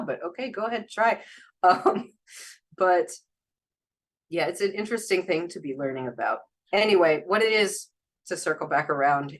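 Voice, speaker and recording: A woman's voice: deep voice, breathy edge. A woman guest speaking casually in an interview, a translator and former Chinese teacher, rambling and chatty with frequent laughs. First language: English.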